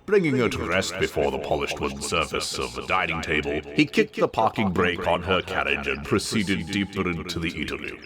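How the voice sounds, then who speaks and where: A man's voice: low-pitched, big pitch swings, brisk, hardly any pauses, crowd noise. A man reading a story aloud in a dramatic narrating style. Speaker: story reader.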